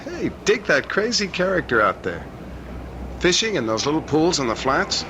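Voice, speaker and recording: An actor playing a breezy, sceptical young American bridegroom in a radio drama, slangy and teasing. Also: male voice, fairly high pitch, very animated, normal speed. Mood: dismissive, teasing. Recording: noisy old radio drama recording.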